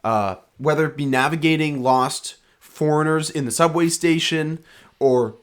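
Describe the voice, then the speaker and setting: A man's voice: on the high side, unhurried, smooth. A young man co-hosting a podcast, chatting loosely about sports and travel in a rambling, joking way. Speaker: podcast co-host. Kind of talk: casual sports chat.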